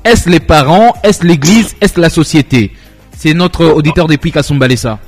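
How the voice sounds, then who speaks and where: A man's voice: a little high, moderate pace, soft background music. A man with a Congolese French accent hosting a live radio show, repeating himself as he brings in guests and questions. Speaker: radio host.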